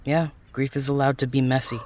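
A man acting in an audio drama as a supportive, casual teammate who speaks gently and frankly. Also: mid-range voice, level tone, brisk, no room sound, natural voice. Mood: gently concerned. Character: supportive, casual male teammate waiting at base. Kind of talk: naturalistic fiction podcast acting.

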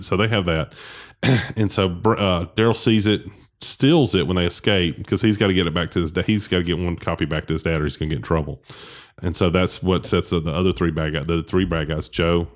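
Picture a man with a very low, deep voice co-hosting a movie podcast. He talks in loose, rambling bursts. He keeps backing up to correct himself mid-sentence, with a relaxed, conversational tone throughout. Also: a little fast. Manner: rambling, full of restarts and self-corrections. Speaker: podcast co-host. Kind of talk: casual movie discussion.

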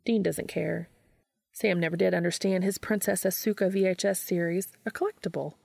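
A woman reading fiction aloud as an expressive scripted narration. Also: fairly low pitch, normal speed, vocal fry, no echo, studio quality. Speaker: fan fiction reader.

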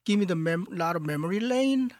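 A man from Korea with a Korean accent, a guest artist in a radio studio interview, speaking calmly and haltingly as he searches for words. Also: high-pitched, no echo. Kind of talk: calm interview talk.

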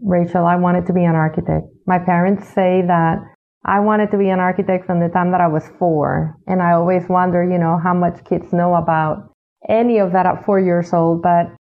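Woman in her 60s, from Puerto Rico, interview guest, low gravelly voice, tinny phone line, unhurried, "you know" asides. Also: steady tone. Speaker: architect and executive director, guest. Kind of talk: interview answers, reflective storytelling.

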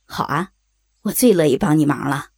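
A man's voice: a little high, lively intonation, moderate pace, darkish tone, slightly rough. An actor playing a coarse, leering rural man with a Northeastern Chinese accent, blunt and pressing, in an audio drama. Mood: smirking. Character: coarse, leering rural brother-in-law, domineering and cajoling.

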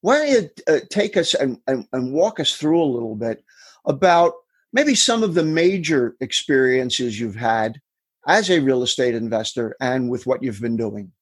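Husky-voiced male interview host. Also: mid-range voice, big pitch swings, normal speed. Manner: encouraging, prompting with long questions. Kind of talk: interviewer questions.

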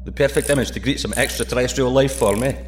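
An actor playing a joking British astronaut, bantering in a sci-fi audio drama. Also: male voice, medium pitch, fast talker, slight background noise, natural voice. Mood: dry, joking. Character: wisecracking, nervy male British astronaut.

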